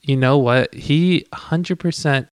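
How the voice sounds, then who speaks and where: A man's voice: on the high side, takes it slowly, smooth, dry recording, studio quality. A man from Arizona, a native Cantonese speaker, joking around as a podcast co-host in casual chat. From Tucson, Arizona, US. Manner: joking, playful, puts on voices.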